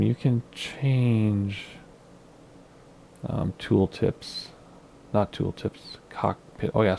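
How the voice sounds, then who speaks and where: A man with a raspy voice from smoke, chatting casually and thinking aloud as he clicks through game menus on his home computer. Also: low voice, dark tone, a little hiss or hum. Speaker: flight sim video host. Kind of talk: casual gameplay commentary.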